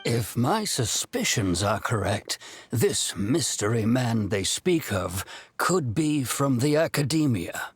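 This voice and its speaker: An actor playing a grave, thoughtful older village leader in a video game, serious and deliberate. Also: male voice, medium pitch, big pitch swings, slightly rough, no echo, full character voice.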